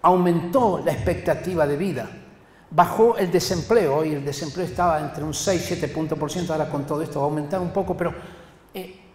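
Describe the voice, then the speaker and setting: Older male preacher, slightly high-pitched voice, emphatic and deliberate delivery, long hall reverb. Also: a little mellow. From Argentina. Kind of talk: sermon to a congregation.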